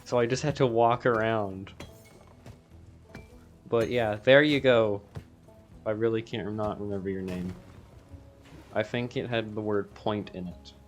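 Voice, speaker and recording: Scratchy-voiced gaming host, low pitch. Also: male voice, fairly even intonation, a little slow, pauses often, soft background music. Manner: rambling, self-interrupting. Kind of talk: casual gameplay commentary.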